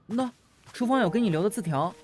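A man's voice: high voice, lively intonation, a little fast, a little mellow, a little hiss or hum. A man in an audio drama playing a bubbly, eager, affectionate younger friend with an exuberant, chatty manner. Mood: cheerful. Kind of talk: Chinese audio drama acting.

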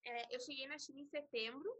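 A woman's voice: high-pitched, fairly even intonation, brisk, a bit bright. A young woman with a Brazilian accent telling stories in a casual, chatty way as a podcast guest, acting out little quotes with slangy fillers. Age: young adult.

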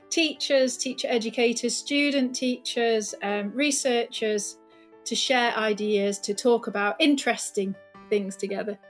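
A woman with a fairly high voice hosts a podcast, speaking in a measured, welcoming manner. She introduces each guest at length. A faint guitar plays underneath her. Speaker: podcast host, senior lecturer. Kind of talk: friendly podcast hosting.

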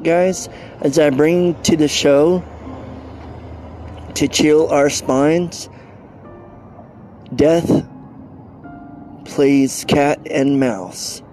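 A male podcast host speaks slowly in a fairly high, soft-edged voice with a dark, muted tone. He addresses listeners directly, and faint noise sits behind him. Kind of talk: podcast sign-off announcement to listeners.

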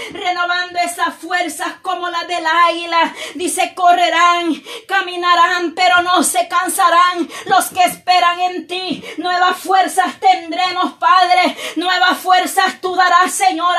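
Woman, fervent prayer leader, very high voice, flat monotone delivery, shouting invocations. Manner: impassioned, repetitive invocations, often shouting.

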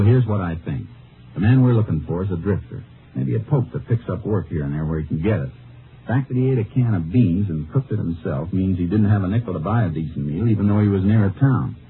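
Terse folksy american texas ranger, real deep voice, 1950s radio show, tinny old recording. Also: male voice, lively intonation, fairly quick, slight background noise. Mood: earnest, determined. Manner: folksy, clipped, understated. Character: laconic, determined male Texas Ranger investigator, also narrating. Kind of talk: 1950s radio drama acting and narration. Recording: muffled old radio recording, narrow band.

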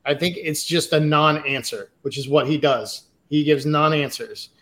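A man venting in a casual sports chat, blunt and fed up, dropping the odd swear. His voice sits a little high for a man. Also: moderate pace, a bit bright. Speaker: podcast co-host.

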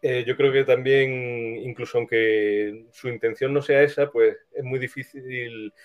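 A man's voice: mid-range voice, steady tone, measured pace. Dull-sounding, thoughtful man answering questions. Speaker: screenwriter being interviewed. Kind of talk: casual interview answers.

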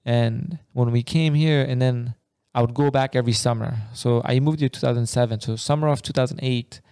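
A man's voice: mid-range voice, steady tone, a little fast, dark tone, clear, resonant tone, no echo, clean studio sound. A young man from Afghanistan, co-hosting a podcast in loose, rambling casual chat full of slang like bro and man. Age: young adult. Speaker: podcast co-host.

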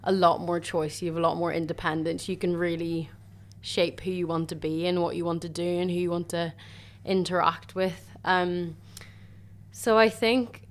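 Young woman, lowish voice. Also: flat delivery, moderate pace. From Northern Ireland. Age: young adult. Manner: chatty, warm, lots of "sort of" and "like". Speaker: university student. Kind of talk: casual faith testimony chat.

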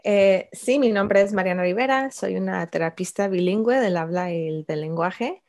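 A woman from Chicago, a bilingual speech-language therapist, answering interview questions in an unhurried way with many fillers and small laughs. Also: medium pitch, darkish tone. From Chicago, United States.

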